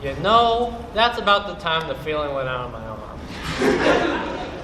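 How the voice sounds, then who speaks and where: A wry, joking high school jock acted by a man in a school play. He draws out his comic lines slowly on a noisy, echoey stage. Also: big pitch swings. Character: joking, battered high school football player. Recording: echoey stage with clear noise.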